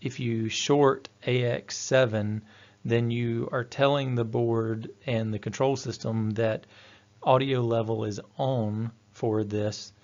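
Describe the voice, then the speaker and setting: A man's voice: medium pitch, steady tone, slow, a little mellow. A man giving an instructional technical presentation in a methodical, explanatory manner. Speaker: technical trainer. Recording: dull, lo-fi voice-over audio.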